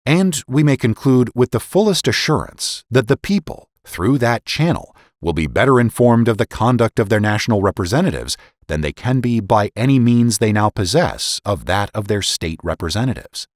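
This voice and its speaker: A low-voiced man narrates historical essays in a dramatic, oratorical way. His pitch swings widely as he stresses phrases with formal deliberation. The recording is close and dry, with no room echo. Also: sing-song, normal speed, hardly any pauses. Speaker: podcast host and narrator.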